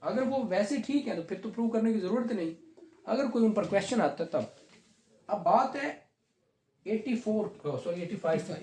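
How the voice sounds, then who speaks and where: A man teaching a law class, reading legal text slowly and then explaining it conversationally to students. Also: sits high, lively intonation, darkish tone. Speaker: law lecturer. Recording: echoey classroom.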